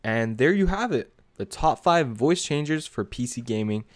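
A man presenting a scripted software review as a voice-over, speaking casually and directly to viewers. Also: mid-range voice, expressive, normal speed. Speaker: video creator and presenter.

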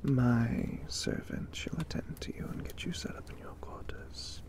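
A man voicing a gentle, courtly vampire catboy in a breathy, soothing ASMR roleplay, formal in his wording. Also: mid-range voice, wildly swooping pitch, full character voice. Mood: soothing, wistful. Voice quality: breathy whisper. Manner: slow, hushed, formal and soothing. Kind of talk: soft whispered ASMR roleplay.

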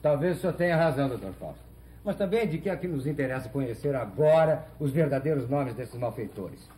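Casting: older actor as pious old Brazilian priest, mellow, up-and-down delivery, hesitant, conciliatory; vintage TV drama. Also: male voice, mid-range voice, lively intonation, fairly quick, close to an everyday voice. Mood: cautious. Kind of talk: 1970s telenovela acting. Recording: noisy old 1970s TV soundtrack.

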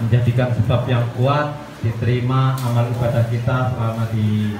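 A man's voice: medium pitch, flat delivery, moderate pace. A man offering a closing prayer to a gathering in a crowded room. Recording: very echoey room, loud crowd noise.

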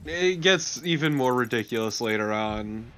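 A man's voice: mid-range voice, lively intonation, moderate pace, fairly bright tone, faint music behind. A man co-commentating on a video game in casual chat. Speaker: let's play co-commentator.

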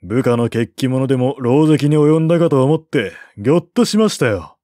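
A man voicing a stern, excitable official in a historical novel, loud and formal. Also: medium pitch, moderate pace, no room sound, clean studio sound, lightly acted. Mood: relieved reproach.

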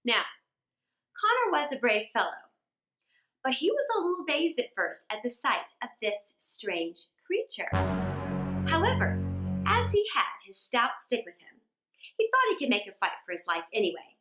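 A middle-aged woman tells a children's story in a high voice with big swings of pitch. She stretches key words for dramatic emphasis. The room adds a noticeable echo. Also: soft background music. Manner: expressive, drawn-out emphasis. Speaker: storyteller reading aloud for children. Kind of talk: dramatic scripted story reading. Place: small indoor room. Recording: roomy indoor echo.

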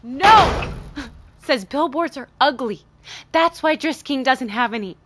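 Audio drama boss: overwrought, bitter woman, high-pitched, upset and tearful. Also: animated, a little slow, noisy background. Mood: distraught, angry. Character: stressed, bitter adult woman store manager. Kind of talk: naturalistic audio drama acting.

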